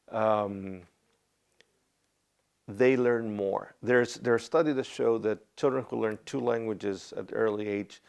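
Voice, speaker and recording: A man giving an expert view in an interview, explaining his argument in a measured way. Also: fairly low pitch, vocal fry, a little mellow.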